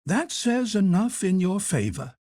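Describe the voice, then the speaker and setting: Storybook role: a pressing, kindly head cook of fifty, voiced by a man, husky, high and animated. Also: measured pace, no room sound, exaggerated voice. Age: 50s. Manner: effusive, pressing, motherly. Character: eager fifty-year-old woman, a hotel head cook.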